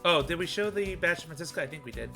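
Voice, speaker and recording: A man's voice: fairly high pitch, expressive, fast talker, creaky, pushed and bright. A man in casual, joking chat during a game dubbing stream. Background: game music.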